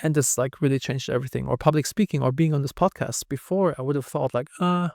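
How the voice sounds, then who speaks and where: A man's voice: mid-range voice, steady tone, fast talker, vocal fry, a little mellow, no echo, studio quality. A man with a German accent, a podcast guest telling candid, self-deprecating stories. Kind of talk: casual interview talk.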